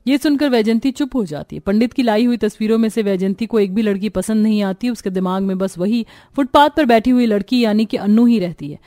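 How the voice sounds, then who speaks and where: A woman narrates a scripted cartoon story. Her pitch sits in the middle range, and the sound is dry, with almost no room to it. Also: brisk, hardly any pauses, mellow, no room sound.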